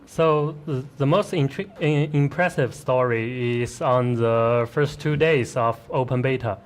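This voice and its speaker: Careful, halting male game designer. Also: mid-range voice. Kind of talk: interview answers on a live stream.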